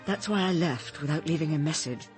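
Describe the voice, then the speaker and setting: A woman's voice: deep voice, a little fast, a little mellow, faint music behind, natural voice. An actress playing a troubled, earnest woman who speaks hesitantly in a British radio drama. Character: troubled, earnest woman gently confronting her friend.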